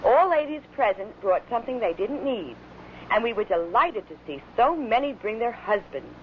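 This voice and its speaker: A woman's voice: a little high, sing-song, moderate pace. A woman presenting scripted homemaking and society items in a mid-century radio manner. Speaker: local radio women's program presenter. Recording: muffled vintage radio recording, faint noise.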